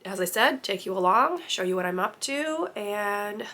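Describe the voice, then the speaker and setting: A woman's voice: mid-range voice, moderate pace. A young woman who teaches kindergarten, chatting casually at home in a vlog, her voice a little congested. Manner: chatty and relaxed, explaining things as she goes.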